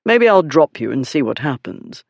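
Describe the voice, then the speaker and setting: A man voicing a calm, haughty aristocratic duke with a cool, low, dryly disdainful voice in an audiobook. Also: creaky, no echo, full character voice. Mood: cool menace. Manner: dry, unhurried, disdainful.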